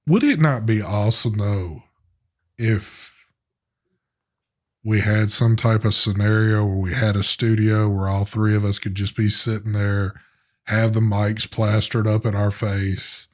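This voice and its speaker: Laid-back man, low voice. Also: fairly even intonation, a little slow. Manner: laid-back, cussing, rambling. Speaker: co-host of a live vape show. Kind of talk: casual live-stream chat.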